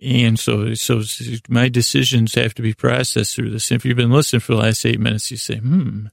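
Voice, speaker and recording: A man with a gravelly voice hosting a sleep podcast in a rambling, hesitant, self-deprecating monologue that keeps drifting into tangents. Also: mid-range voice, level tone, fairly quick, no room sound, studio quality. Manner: meandering, hesitant, with self-deprecating asides and acted-out imaginary dialogue.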